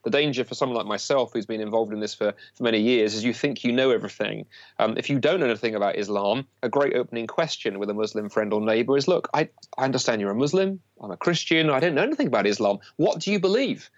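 An English man explains in a mid-pitched voice, animated and good-humoured. The sound is dull and slightly muffled. The room is dry and close. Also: quick pace, dry recording. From England. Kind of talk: radio interview.

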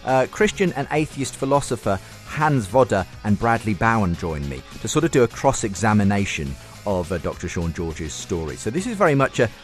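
A man presenting a radio programme as its host, introducing items and guests. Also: on the low side.

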